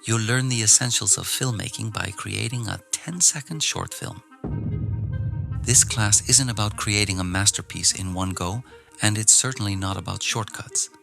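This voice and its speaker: A man from Iceland teaches a filmmaking lesson with a very gravelly, low voice. He speaks in a relaxed, encouraging way, sometimes off the cuff with fillers. Soft music plays beneath him. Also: normal speed. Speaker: film teacher. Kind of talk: online class lesson. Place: outdoors in nature. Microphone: phone recording nearby.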